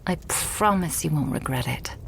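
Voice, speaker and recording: ASMR roleplay casting: woman as devoted, stubborn vampire girlfriend, lowish soft breathy voice, unhurried intimate delivery, lightly teasing, creaky edges. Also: lively intonation, slight background noise. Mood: loving, hopeful. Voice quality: soft, breathy, often whispered. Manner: slow, tender, coaxing, lightly teasing. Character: loving, doting, stubborn female vampire girlfriend.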